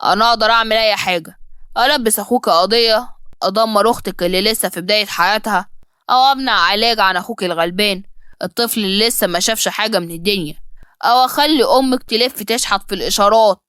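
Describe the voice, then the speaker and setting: A woman's voice: mid-range voice, quick pace, fairly bright tone, clean tone, no echo, clean studio sound, lightly acted. A woman voicing a cruel, sarcastic rich man who threatens and mocks in a cold, commanding manner. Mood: threatening. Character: cruel, sarcastic, threatening wealthy man, lover turned bully.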